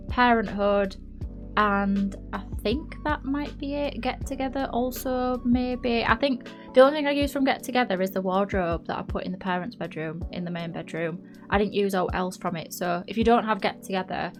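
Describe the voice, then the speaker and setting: A woman chats through a game build voice-over in a mid-pitched voice, a little nasal from a blocked nose. She rambles and doubts herself, full of fillers. Quiet music plays under her voice. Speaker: YouTuber narrating a game build. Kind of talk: casual chatty voice-over. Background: faint background music.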